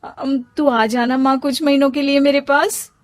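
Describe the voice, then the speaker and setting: A woman voicing an overwhelmed young new mother in an animated moral story, fretting and pleading. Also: high-pitched, moderate pace, no room sound, voice pushed up, lightly acted. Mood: fretful, pleading.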